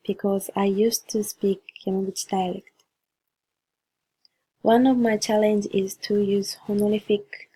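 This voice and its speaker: A woman's voice: mid-range voice, warm tone, husky, poor audio. A woman from Japan with a Japanese accent, carefully reading a class presentation in English as a second language. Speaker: student presenter.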